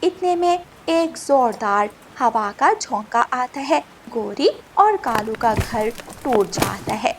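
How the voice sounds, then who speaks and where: A woman with a high voice tells a cartoon story. Her delivery is scripted narration from a storyteller. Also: expressive, slow pace, hardly any pauses, a little hiss or hum.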